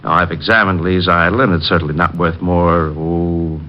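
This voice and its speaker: Muffled vintage radio, male actor as doctor, very deep voice, measured, dismissive, authoritative. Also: steady tone, close to an everyday voice. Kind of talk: 1940s-50s radio acting. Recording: muffled old radio recording.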